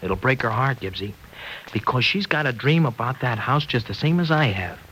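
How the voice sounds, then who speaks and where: A man's voice: mid-range voice, animated, a bit bright, natural voice, poor audio. Man acting earnest young guy from old radio show, tough slang but boyish, voice swings around a lot, bit of noise. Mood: earnest, insistent. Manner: halting, boyish, streetwise slang. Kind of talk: 1940s radio drama acting.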